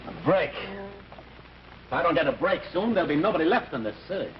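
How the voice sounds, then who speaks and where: An actor with an American accent playing a weary, brooding police detective, terse and frustrated, in old-time radio drama style. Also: male voice, fairly high pitch, lively intonation, fast, natural voice. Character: weary, brooding male police detective, obsessed with his case. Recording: dull, noisy old radio recording.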